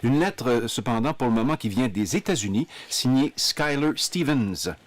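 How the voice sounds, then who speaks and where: A man hosting a radio discussion, steering the panel with numbers and questions. His voice sits at a middle pitch, and the studio sound is close and dry. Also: animated, normal speed, no echo. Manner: leads the talk, feeds figures and questions, jokes with guests. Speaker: radio show host.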